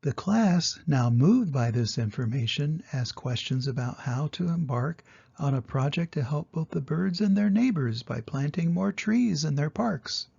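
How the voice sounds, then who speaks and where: An older grandfather reads a picture book to little children in a gentle, explanatory way. His voice is husky and creaky, with a soft, mellow tone. The recording sounds slightly dull and muffled. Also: a little high, lively intonation, measured pace. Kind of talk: children's storybook reading.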